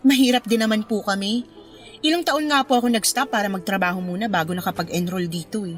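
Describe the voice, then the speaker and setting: A woman's voice: medium pitch, fast talker, natural voice. A woman playing a curt, resentful young college student in a radio drama, with cold, blunt politeness. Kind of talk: radio drama acting in Filipino. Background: music bed.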